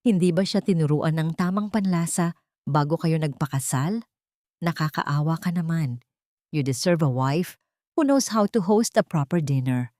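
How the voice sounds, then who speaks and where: A woman's voice: deep voice, moderate pace, a little mellow, no room sound, studio quality, close to an everyday voice. A woman voicing a haughty, affected mistress who complains and sneers, in an audio drama. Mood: mocking complaint.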